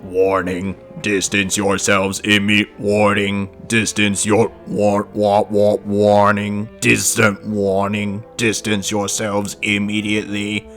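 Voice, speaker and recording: Monotone security robot, slow and deep, stiff repetitive announcements, music behind. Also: exaggerated voice. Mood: flat warning. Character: monotone automated security robot blaring warnings and ads. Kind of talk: amateur audio drama.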